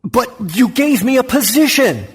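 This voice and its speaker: A man's voice: very high-pitched, very animated, unhurried, slightly rough, no room sound, clean studio sound, heavily acted. An actor playing a scheming, anxious underling who tells his own story in a first-person audio drama. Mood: tense, anxious. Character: scheming damned man, anxious, self-pitying, ambitious underling.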